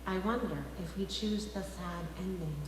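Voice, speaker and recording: A woman minister delivering a sermon to her congregation with emphatic, deliberate phrasing. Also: lowish voice. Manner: rhetorical questions, emphatic phrasing with deliberate pauses. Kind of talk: liberal church sermon. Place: large reverberant church sanctuary, indoors. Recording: very echoey hall, faint background noise.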